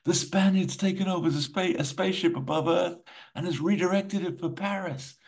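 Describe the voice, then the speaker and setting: A man's voice: high-pitched, brisk, voice pushed up. A man voicing an urgent, grave government agent who pleads solemnly in a raised, declamatory voice. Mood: urgent, pleading.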